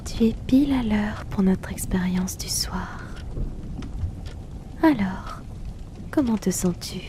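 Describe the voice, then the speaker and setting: A woman voicing an aloof, wealthy hypnotist in a whispered ASMR roleplay, speaking softly and teasingly into a close mic. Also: mid-range voice, background noise. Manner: soft teasing whisper, unhurried.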